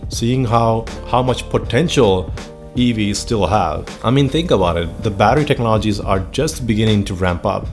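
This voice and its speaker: A man's voice: on the low side, expressive, normal speed, soft background music. A man in his thirties with a Finnish accent, a native Finnish speaker, talking earnestly and enthusiastically as host of his own vlog. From Finland. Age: 30s. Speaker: channel host. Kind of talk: personal vlog monologue.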